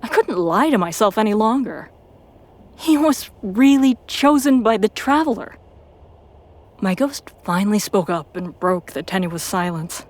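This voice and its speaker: A woman's voice: mid-range voice, animated, a little slow, lightly acted. A woman playing a battle-hardened, torn female soldier who narrates her own story earnestly in an audio drama. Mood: brooding. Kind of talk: dramatic first-person scripted narration.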